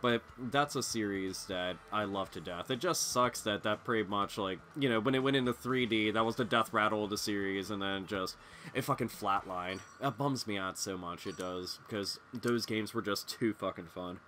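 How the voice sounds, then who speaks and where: A man's voice: on the low side, moderate pace, slight background noise. A congested man hosting a gaming channel, chatting casually over gameplay in a rambling, profane way with lots of fillers. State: congested from illness. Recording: loud game music underneath. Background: loud racing game music.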